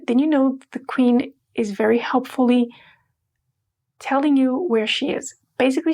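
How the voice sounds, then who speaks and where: A woman from Portugal talks through a scripted language lesson. Her voice sits a little high, with a gravelly, fry-tinged edge. She teaches in a playful, emphatic way and slows down to spell out words.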